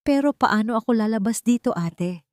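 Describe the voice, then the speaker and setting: Woman voicing a pleading, higher-pitched Filipina housemaid. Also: animated, moderate pace, dry recording, clean studio sound, close to an everyday voice. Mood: anxious hope. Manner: pleading, polite. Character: desperate young housemaid, pleading and grateful.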